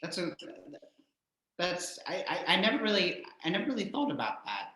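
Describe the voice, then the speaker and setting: Heavy room echo surrounds a man hosting a casual interview. His voice has a bright edge, and he speaks agreeably in halting bursts, repeating words as he forms questions. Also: sits high, very animated. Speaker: host, interviewer. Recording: very echoey, reverberant room.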